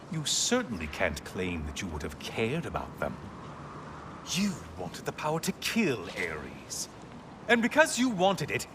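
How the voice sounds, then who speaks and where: A man's voice: fairly high pitch, noisy background. An actor playing a spiteful, sneering male sun god in a video game, taunting and theatrical. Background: game music.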